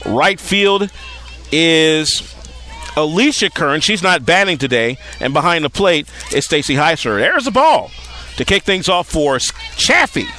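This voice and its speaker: A man calling live softball play-by-play as a radio-style sports announcer, outdoors at a ballfield. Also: fairly high pitch, big pitch swings, unhurried, faint music behind.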